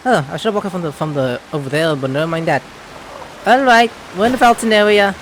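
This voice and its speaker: A man's voice: high voice, a little fast, a bit bright, soft background music, poor audio. A man giving casual, rambling gameplay commentary, mumbling and swearing freely. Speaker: gamer.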